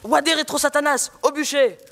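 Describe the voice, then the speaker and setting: A man's voice: very high-pitched, steady tone, fairly quick, sharp tone, full character voice. A teenage man playing a pompous, scornful old village priest who grumbles and declaims. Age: teenager, high-school student. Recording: reverberant room, noisy background.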